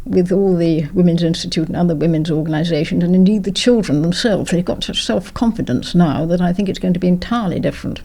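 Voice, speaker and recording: A woman's voice: lowish voice, fast, gravelly, few pauses, warm tone. An older woman, a former politician, reminiscing in an interview in an effusive, emphatic, well-spoken way.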